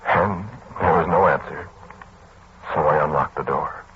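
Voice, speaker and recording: An actor playing a haunted, earnest man who recounts a dark tale in old-time radio style, with dramatic, broken phrasing. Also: very deep voice, measured pace. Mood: grave, shaken. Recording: muffled, hissy old radio recording.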